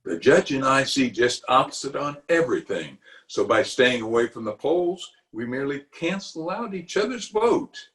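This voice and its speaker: A man's voice: medium pitch, very animated, normal speed, few pauses, a bit bright. A man playing a pompous, self-justifying middle-aged uncle in an old-time radio comedy, with a blustery, chuckling manner. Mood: defensive. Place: recorded remotely over a video call.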